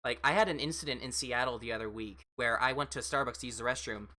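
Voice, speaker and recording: A woman with an American accent and a fairly deep voice, chatting casually as a livestream host and replying to viewers in a rambling, reassuring way. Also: fast talker, pushed and bright. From United States.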